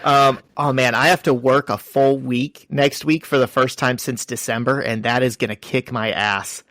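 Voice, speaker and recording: A man's voice: mid-range voice, steady tone, moderate pace, fairly bright tone, no room sound. A man co-hosting a podcast, chatting casually and telling self-mocking stories. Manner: joking, self-mocking storyteller. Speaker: podcast co-host. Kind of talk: casual chat.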